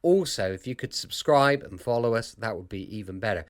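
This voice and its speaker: A man's voice: on the low side, expressive, a little fast. A man hosting a radio show, speaking directly to listeners in a presenter's outro style.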